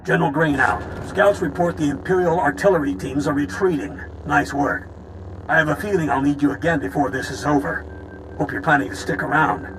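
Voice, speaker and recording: An actor playing a brisk, demanding army general in a video game, curt and authoritative. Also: male voice, a little high, steady tone, fairly bright tone, slight background noise, close to an everyday voice, low-quality recording. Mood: satisfied. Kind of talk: video game cutscene acting.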